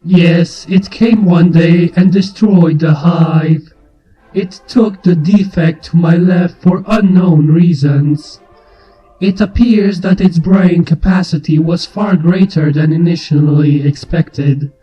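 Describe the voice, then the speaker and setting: A man's voice: on the high side, fairly even intonation, slow pace. A cold hive creature, acted by a man, delivers clinical, formal statements. The sound is dull and muffled, with music underneath. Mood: clinical, stern.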